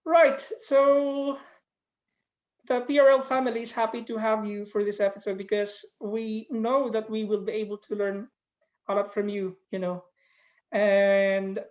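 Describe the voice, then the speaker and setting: A woman's voice: medium pitch, steady tone, unhurried, darkish tone, dull audio. A woman in middle age hosting a casual interview on a video call, chatty and friendly. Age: 40s or older. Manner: friendly, chatty, laughs often. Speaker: podcast host. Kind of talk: casual interview, mixing Tagalog and English.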